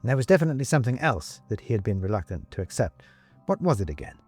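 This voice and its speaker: A man narrating a scripted story as an audiobook reader, with wry, deliberate, emphatic phrasing. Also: mid-range voice, very animated, darkish tone, breathy edge, faint music behind.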